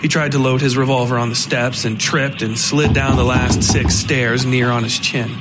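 A man narrates a scripted horror story for a podcast, in a middle-pitched voice. Music runs clearly behind the narration. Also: fairly even intonation, normal speed, low-quality recording.

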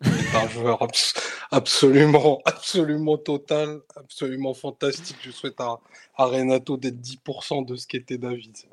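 A man's voice: mid-range voice, steady tone, normal speed. A man co-hosting a podcast, speaking emphatically during casual chat. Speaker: podcast co-host.

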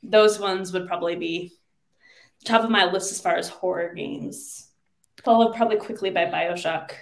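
A woman speaking as a podcast guest, giving her opinions in relaxed, informal conversation. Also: on the low side, normal speed, creaky. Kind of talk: casual opinionated chat about games.

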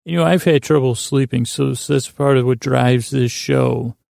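A man hosting a sleep podcast with a creaky voice, giving a meandering bedtime monologue in a lulling, halting way, often correcting himself. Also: a little mellow, no room sound, clean studio sound. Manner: rambling, halting, self-correcting; soothing, lulling, low-key.

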